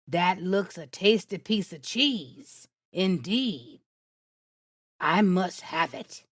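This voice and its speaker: Sly flattering male fox, read by a woman for a storybook; slow, coaxing, lively pitch. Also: breathy edge, no room sound, studio quality, exaggerated voice. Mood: greedy. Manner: sweet-talking, coaxing.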